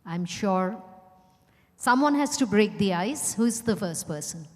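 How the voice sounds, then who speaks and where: A woman's voice: medium pitch, animated, measured pace, darkish tone. A woman with an Indian accent moderating a live event in a conversational, encouraging way, prompting others to speak. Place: large indoor event hall at a hotel. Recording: reverberant hall, amplified live event.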